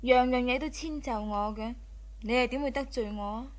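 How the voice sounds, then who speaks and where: A woman plays a devoted young wife. She is gentle and soothing with a coy, teasing side, in radio-drama style. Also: a little high, moderate pace, close to an everyday voice. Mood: gentle, reassuring. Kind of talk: Cantonese radio drama acting.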